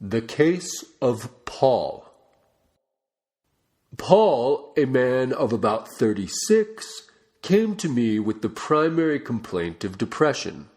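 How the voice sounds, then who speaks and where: A man reading a non-fiction book aloud as a narrator, with measured, deliberate phrasing. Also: medium pitch, sing-song, a little mellow. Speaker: audiobook reader.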